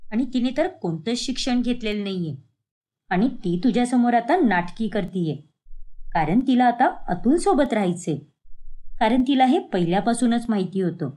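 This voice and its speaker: A woman's voice: on the high side, dark tone. A woman voicing a spiteful, insistent female tattler in a narrated cartoon family story. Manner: scornful, insistent. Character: spiteful woman tattling on an unschooled village girl.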